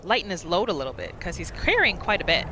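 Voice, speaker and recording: A woman's voice: on the low side, sing-song, fast, noisy background. A woman giving casual, storytelling commentary as she narrates a roleplay video game. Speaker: gamer narrating a roleplay series.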